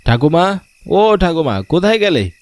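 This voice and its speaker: A man's voice: a little high, very animated, a little slow, no echo. A man voicing a put-upon but dutiful office worker and grandson in a story cartoon. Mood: affectionate. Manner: grumbling in monologue, affectionate to elder. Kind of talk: Bengali cartoon voice acting.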